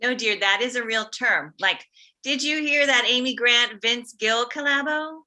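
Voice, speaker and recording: Oblivious, chiding mother, acted by a woman, fairly high and intensely bright, emphatic comedy delivery. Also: a little slow. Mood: oblivious, coaxing. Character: scolding, oblivious mother of a grown son. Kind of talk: comedy script table read.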